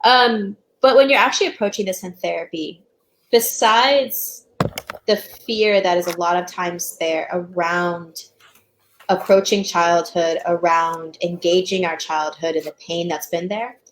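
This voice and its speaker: A woman talking as a counselor in a casual discussion, with a thoughtful, measured manner. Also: fairly low pitch, fairly even intonation, a little hiss or hum, rough recording. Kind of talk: casual podcast-style discussion.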